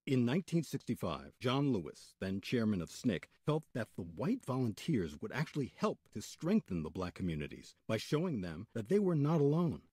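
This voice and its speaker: Husky, animated male radio narrator. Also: medium pitch, normal speed, few pauses, no room sound, studio quality. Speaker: radio program host and narrator. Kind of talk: scripted documentary narration, radio storytelling.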